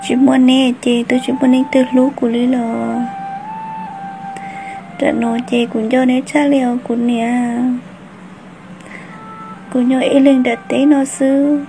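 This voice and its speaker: A woman's voice: sits high, level tone, unhurried, pauses often, over music, low-quality recording. A woman voicing a young village woman. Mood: chatting. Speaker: voice actor. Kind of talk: amateur cartoon voice acting. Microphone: phone microphone.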